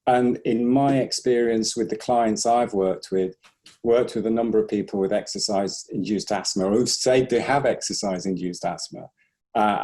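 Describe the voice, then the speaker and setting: A man's voice: lowish voice, dark tone. A man, a breathing instructor, explaining carefully in a conversational interview and hedging his claims.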